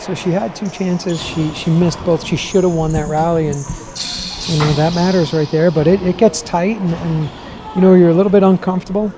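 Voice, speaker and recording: A man commentating live on a racquetball match, loud and chatty, breaking down each rally. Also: on the high side, fairly even intonation, normal speed, noisy background. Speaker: color commentator.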